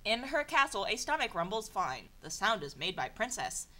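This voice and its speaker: A young woman reading broken fan fiction aloud for comedy, in a deadpan voice, stumbling over garbled phrases and breaking off for exasperated sarcastic asides. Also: mid-range voice, normal speed. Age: young adult. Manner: stumbles and self-corrects over garbled sentences.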